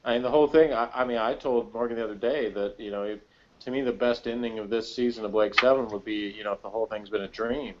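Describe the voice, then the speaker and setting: A man's voice: mid-range voice, fairly even intonation, fast talker, highs cut off. A man giving his opinions in a casual fan discussion, sceptical and a little hesitant in his phrasing.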